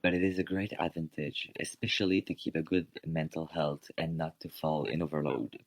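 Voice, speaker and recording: A young man, a student, making his points in a scripted radio debate with formal, measured wording. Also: a bass voice, steady tone, no echo, poor audio. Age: young adult.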